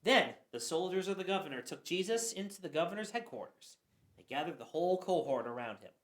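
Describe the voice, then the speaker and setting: A man's voice: on the high side, sing-song, a little fast. A man narrating scripture for a church radio play, reading earnestly and sometimes stumbling. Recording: echoey room.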